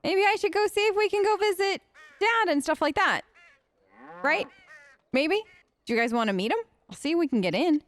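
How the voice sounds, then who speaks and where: A woman's voice: extremely high pitch, lively intonation, moderate pace, long pauses, a bit bright. A woman hosting a role-play let's play, chatting casually and a little hesitantly to game companions in character.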